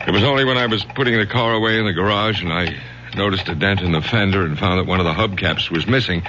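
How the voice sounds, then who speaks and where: An actor playing an anxious, hesitant, educated middle-aged professor in a radio drama. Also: male voice, fairly low pitch. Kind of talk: 1970s radio drama acting. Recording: noisy muffled old radio, typing behind.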